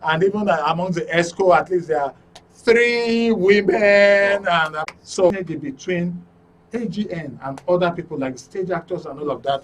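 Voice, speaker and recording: A Nigerian man hosting a live call-in programme, speaking courteously with frequent hesitations. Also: a little high. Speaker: radio and TV show host. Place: broadcast studio. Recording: distant mic, noticeable background noise.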